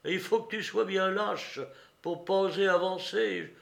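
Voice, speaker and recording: Man putting on a high-pitched, brazen, taunting woman. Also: fairly even intonation, unhurried, gravelly, a bit bright, exaggerated voice. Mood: mocking, defiant. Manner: taunting, slangy, exclamatory. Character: brazen mocking young fairground woman, streetwise and slangy.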